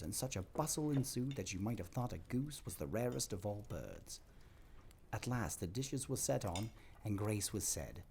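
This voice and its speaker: Deep, expressive male storyteller. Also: slight background noise. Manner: dramatic, expressive. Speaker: narrator. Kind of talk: scripted story narration.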